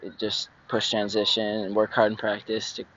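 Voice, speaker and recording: Lower-voiced young man on a field. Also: completely flat delivery, moderate pace, a bit bright, husky, dry recording, muffled recording. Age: young adult. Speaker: high school lacrosse player. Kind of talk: post-game interview. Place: outdoor sports field at night. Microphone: handheld mic.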